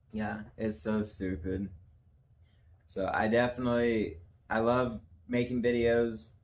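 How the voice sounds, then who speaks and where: A man co-hosting a casual podcast who talks in a profane, animated way and laughs often. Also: mid-range voice, slow pace, long pauses, a little hiss or hum. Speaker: podcast co-host. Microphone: camera facing the hosts.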